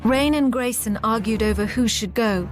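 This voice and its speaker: A woman's voice: fairly high pitch, normal speed, music in the background, natural voice. A woman playing a grave wartime narrator in a video game, recounting a resistance campaign in scripted narration. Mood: grave, measured.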